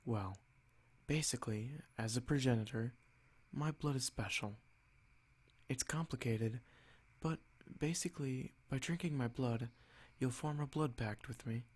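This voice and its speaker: A haughty male vampire noble, acted by a man for an ASMR roleplay. He speaks at a middling pitch in a measured, condescending way. His calm carries a soft threat, possessive and sure of his rank. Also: breathy edge. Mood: explaining, condescending.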